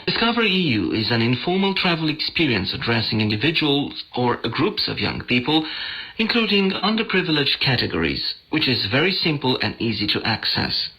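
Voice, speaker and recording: A male radio presenter reads scripted news in a bright, forceful voice at a medium pitch. He is heard over a digital shortwave broadcast. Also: creaky, rough recording. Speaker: radio host. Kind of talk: scripted news magazine narration.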